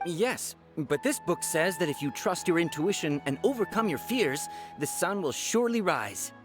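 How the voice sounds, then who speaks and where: An actor plays an amateur diviner running a festival stall in an anime game. The mid-pitched voice is lively and salesy, turning hesitant when unsure. Music plays clearly behind. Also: moderate pace, natural voice. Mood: fumbling, upbeat. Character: amateur fortune-teller stall keeper, eager and fumbling salesperson.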